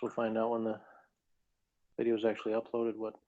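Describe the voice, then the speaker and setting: A man's voice: on the low side, completely flat delivery, fairly quick, mellow. A man hosting a casual review discussion, talking in a conversational, hedging way. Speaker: review show host. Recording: dull, lo-fi audio.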